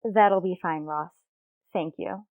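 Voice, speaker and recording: Resolute, wronged wife for a daytime radio soap, female actor. Lowish pitch, earnest and restrained delivery. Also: slow, narrow, tinny audio, very noisy. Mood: guarded, polite. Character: resolute, aggrieved wife and mother in troubled marriage.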